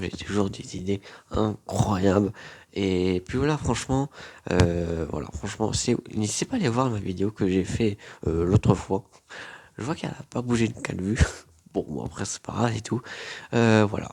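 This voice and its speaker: A man's voice: low voice, gravelly, darkish tone. A young man with a cold, rambling casually to camera as a YouTuber, with lots of fillers and repetitions. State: has a cold.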